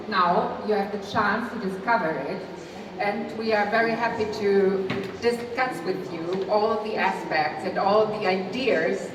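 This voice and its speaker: Woman, press conference moderator, polite, hesitant, mid pitch, echoey distant hall recording. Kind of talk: formal moderating. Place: large historic hall. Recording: echoey hall, distant mic, background noise.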